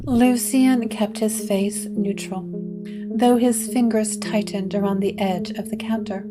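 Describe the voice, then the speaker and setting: A woman's voice: medium pitch, fairly even intonation, a little slow. A woman narrator reading a scripted gothic story. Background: guitar music.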